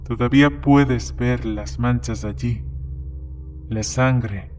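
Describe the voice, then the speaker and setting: A man plays a plain-spoken bartender in an audio horror story. His voice sits at a middling pitch as he recounts grim events in a measured storytelling way, with faint music underneath. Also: steady tone, darkish tone, close to an everyday voice. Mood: grim, suspenseful. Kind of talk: dramatized short-story reading. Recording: music behind the voice.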